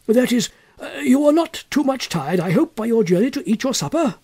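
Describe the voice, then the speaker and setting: A man voicing an elderly, austere but kindly gentleman scholar in a story, fussy and eager, hesitating and repeating his questions. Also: very high-pitched, very animated, brisk, husky, voice pushed up, exaggerated voice. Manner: hesitant, repetitive, fussily questioning.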